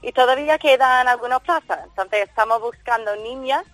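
A woman's voice: a little high, fairly quick, fairly bright tone, no echo, low-quality recording. A woman from Washington with an American accent, a native English speaker, answering radio interview questions with enthusiasm. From Washington, D.C., United States. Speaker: guest, football school founder.

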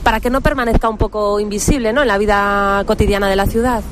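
A woman works as a radio reporter, asking questions briskly and professionally. Her pitch is in the middle range. A faint hum of traffic is heard behind her. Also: steady tone.

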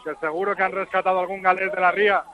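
A high-voiced man reports live from among rugby fans. He chats and jokes as he grabs people to interview. The sound comes over a dull, lo-fi remote line. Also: flat delivery, racing, a crowd in the background. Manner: chatty, jokey, interviews fans on the spot. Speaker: field reporter at a rugby match.

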